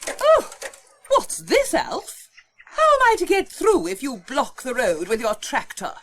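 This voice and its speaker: A woman's voice: high-pitched, huge pitch swings, a little slow, a bit bright, full character voice. Imperious, swooping, bossy village lady. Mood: indignant. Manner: imperious, scolding. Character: brisk, bossy, indignant village busybody lady. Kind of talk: children's storybook audiobook character voice.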